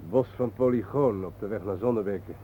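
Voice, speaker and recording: A male actor in a Dutch radio play, playing a calm, enigmatic guide haunted by war, speaking in a measured, patient, cryptic way. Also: lowish voice, close to an everyday voice. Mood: quiet, knowing. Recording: noisy, thin phone-like old radio recording.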